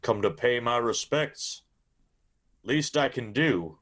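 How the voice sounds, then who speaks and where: A medium-pitched man's voice, an unwelcome visitor in a radio drama. He is cool and understated, with a goading edge. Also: animated, slow, a bit bright. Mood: cool, needling.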